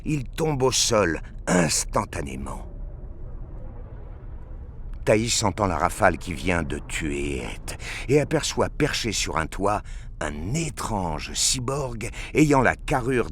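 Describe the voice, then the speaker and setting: Deep, slow male dramatic narrator. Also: a bit bright, slight background noise.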